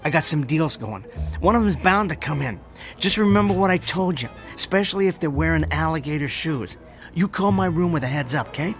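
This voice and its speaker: A desperate small-time hustler with a high voice. He is a nervous wheedler who trips over his words in a radio drama, with faint music underneath. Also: expressive, fairly bright tone. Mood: wary, evasive. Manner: wheedling, nervous, stammering fast talker.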